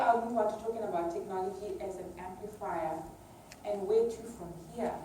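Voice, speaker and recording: Woman lecturing in a very echoey hall. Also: fairly low pitch. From Uganda. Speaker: academic researcher giving an award lecture. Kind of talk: public lecture, semi-informal. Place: large echoey lecture room. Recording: distant mic, very echoey hall, clear background noise.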